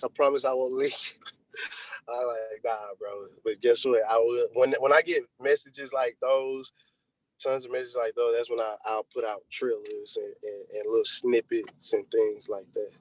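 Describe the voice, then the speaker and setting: A man's voice: mid-range voice, little pitch movement, moderate pace, poor audio. A young man being interviewed as a rapper, chatting casually in slang over a video call. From Texas, United States. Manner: slangy, conversational. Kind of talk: casual chat.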